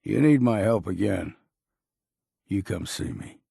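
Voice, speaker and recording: An actor playing a gruff old hard-drinking hunter and guide in a video game, with a raspy voice and folksy, laconic talk. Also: male voice, on the low side, expressive, unhurried, dark tone, no echo, studio quality, full character voice. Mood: grizzled, matter-of-fact. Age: older. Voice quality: gravelly, raspy. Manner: folksy, dropped endings, laconic. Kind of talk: video game character acting.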